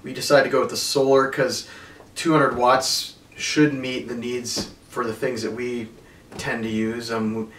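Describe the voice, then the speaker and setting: A man in his thirties unboxing and reviewing camping gear at home, talking in a relaxed, plain way with many ums. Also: mid-range voice. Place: carpeted living room at home. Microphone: far camera. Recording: roomy, from far off, faint noise.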